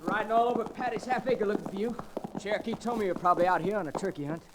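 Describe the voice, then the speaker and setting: An actor playing an earnest, awkward young cowhand in an old-time radio western, folksy and halting. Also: male voice, sits high, natural voice. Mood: eager. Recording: noisy vintage radio recording.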